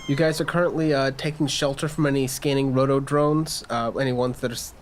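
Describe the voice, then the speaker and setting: A man's voice: mid-range voice, fairly even intonation, a little fast, slight background noise. A man acting as game master, casually narrating scenes and explaining rules in a tabletop roleplaying podcast. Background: background music.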